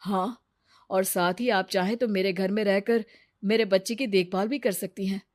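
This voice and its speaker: A woman voicing a kind, responsible single father in a cartoon moral story, speaking in an earnest, measured way. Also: medium pitch, steady tone, a little mellow, natural voice. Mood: grateful, earnest.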